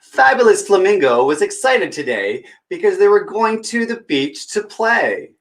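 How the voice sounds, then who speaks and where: Male children's storyteller, fairly high voice, encouraging, chatty read-aloud style that speaks straight to little kids. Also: sing-song, a little slow. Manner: encouraging, talks directly to young listeners. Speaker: children's story time reader.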